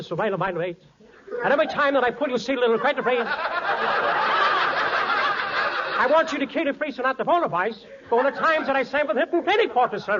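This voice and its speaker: Muffled old radio, audience behind, fussy film director, rapid gibberish double-talk. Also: male voice, very high voice. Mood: earnest. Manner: rapid double-talk, nonsense slipped into sentences. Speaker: comic supporting actor. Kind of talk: 1940s radio comedy acting. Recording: muffled 1940s radio recording, studio audience.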